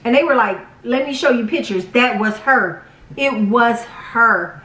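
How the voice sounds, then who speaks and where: High-pitched woman, casual storyteller, dramatic delivery, roomy echo, young adult. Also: a little slow. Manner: animated, dramatic retelling with acted quotes. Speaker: vlog storyteller. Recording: roomy echo, distant from mic.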